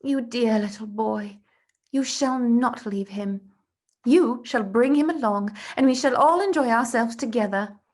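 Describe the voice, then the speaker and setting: A woman's voice: fairly high pitch, unhurried, darkish tone. A woman voicing an elegant, affectionate aunt in a storybook, fond, emphatic and decisive.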